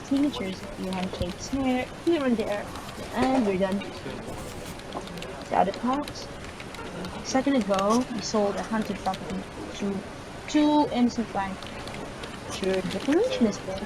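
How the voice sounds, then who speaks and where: A woman gamer reading visual novel narration aloud, halting and stumbling over words. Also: medium pitch, poor audio.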